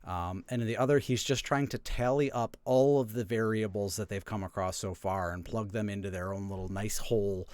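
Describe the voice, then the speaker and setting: A man's voice: on the low side, steady tone, fairly quick, few pauses, a little mellow, dry recording. A man playing a tabletop role-playing game, talking casually as he improvises his character's actions.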